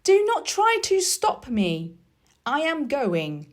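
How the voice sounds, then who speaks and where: Woman voicing an imperious, high-pitched young boy. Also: huge pitch swings, slow, heavily acted. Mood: defiant. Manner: emphatic, declaring. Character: imperious, earnest young boy determined to get well.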